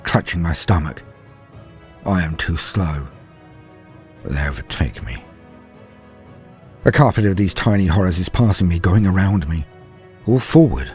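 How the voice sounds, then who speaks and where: Man acting as a terrified, halting soldier in horror tale. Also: deep voice, a little mellow. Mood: panicked, pained. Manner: halting, fearful first-person narration. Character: terrified male soldier fleeing a horde, in agony. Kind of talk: dramatic horror storytelling.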